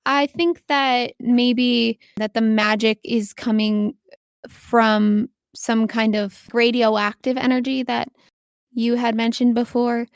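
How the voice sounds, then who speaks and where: A woman's voice: on the high side, flat delivery, takes it slowly, clear, resonant tone, no echo, studio quality. A woman speaking casually and encouragingly as game master, narrating a tabletop game.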